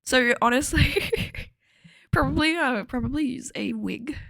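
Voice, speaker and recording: A young woman vlogging in a chatty, rambling way, with a slightly croaky voice and frequent laughter. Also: high-pitched, a little slow, fairly bright tone, no room sound, clean studio sound. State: a little croaky. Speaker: cosplay vlogger. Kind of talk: casual chat to camera.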